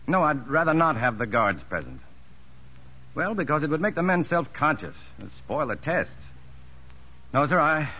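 An actor playing an earnest, educated prison psychologist, somewhat uneasy, in a 1950s radio drama. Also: male voice, medium pitch, sharp tone. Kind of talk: 1950s radio drama acting with first-person narration. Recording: muffled 1950s radio recording.

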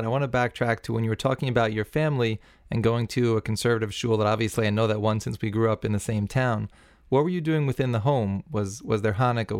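A man's voice: fairly low pitch, no room sound, clean studio sound. A man hosting an interview podcast, opening with a polished introduction and then asking conversational questions. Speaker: podcast host.

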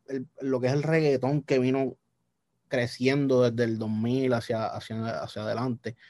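A young man with a Puerto Rican Spanish accent, talking casually as a guest musician, relaxed and repeating words as he thinks. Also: mid-range voice, no room sound, studio quality. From Puerto Rico. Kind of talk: casual interview chat.

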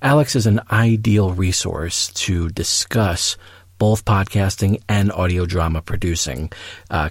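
Deep-voiced male podcast intro narrator. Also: no echo, studio quality. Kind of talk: scripted episode intro.

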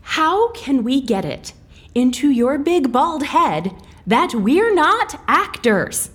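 Brash, lively, high-voiced agent. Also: female voice, slow, full character voice. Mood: irritated, threatening. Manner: casual, sarcastic. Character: brash, impatient, sarcastic female CIA agent. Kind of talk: audio drama acting.

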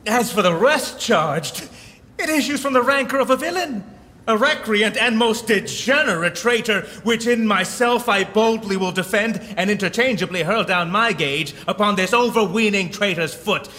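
A man's voice: sits high, fairly bright tone. A man playing a proud, hot-blooded accused duke, speaking Shakespearean verse in an emphatic, formal, declamatory way. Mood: indignant. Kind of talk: Shakespearean verse drama acting. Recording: long echoing hall reverb.

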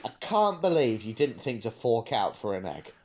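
A man's voice: mid-range voice, big pitch swings, moderate pace, a little mellow. A man co-hosting a podcast, chatting casually and discursively, thinking aloud with hesitant asides. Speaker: podcast co-host.

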